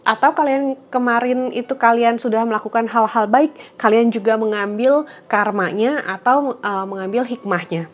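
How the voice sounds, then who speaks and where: Gentle woman reading tarot cards. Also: fairly high pitch, normal speed, lo-fi sound. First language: Indonesian. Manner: gentle, reflective, with frequent fillers. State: slightly blocked nose from a cold. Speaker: tarot reader. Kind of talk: casual tarot reading to viewers.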